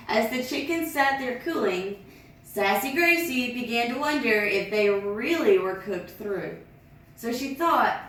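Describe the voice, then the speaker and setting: A woman reads a children's picture book aloud, lively, voicing the sound effects in a sing-song way. Her voice is a little high and bounces around a very echoey room, recorded from a distance. A faint background hiss stays under her. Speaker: storyteller.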